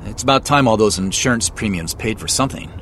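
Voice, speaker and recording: Male actor playing guarded driver, polite, hesitant replies, varied pitch, faint vehicle noise, naturalistic radio play. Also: fast. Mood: polite, uneasy. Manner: hesitant, short guarded answers. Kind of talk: naturalistic audio drama dialogue. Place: inside a car. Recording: steady car cabin noise.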